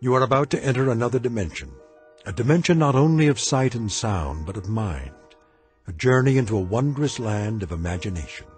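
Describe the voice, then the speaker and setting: A man narrating a radio drama in a measured, ominous way. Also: mid-range voice, expressive, a little mellow, faint music behind. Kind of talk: scripted narration.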